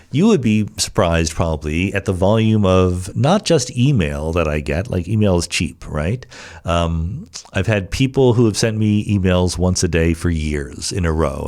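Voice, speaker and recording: Male podcast host, slightly low voice, very animated pitch, conversational and emphatic, talking solo. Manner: conversational, emphatic, rhetorical asides. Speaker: podcast host answering listener questions. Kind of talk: casual explanatory monologue, reads questions aloud.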